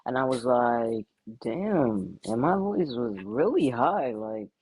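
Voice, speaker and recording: A man's voice: mid-range voice, big pitch swings, slow, darkish tone, breathy edge, poor audio. A young man in a casual podcast chat, laid-back and frank, leaning on lots of fillers. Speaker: podcast guest.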